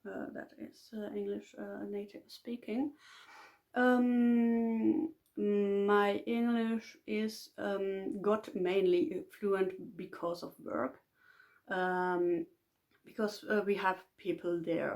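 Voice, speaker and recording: A woman's voice: medium pitch, gravelly, darkish tone. A woman with a Finnish accent, a native Finnish speaker, talking casually off the cuff as a polyglot vlogger, hesitant and searching for words as she goes.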